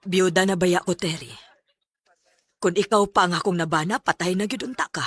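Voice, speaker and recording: A woman acting in a Bisaya radio drama as a frank, wryly affectionate elderly widow, with a Cebuano accent and plain-spoken, gently teasing delivery. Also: on the low side, moderate pace, husky, no room sound.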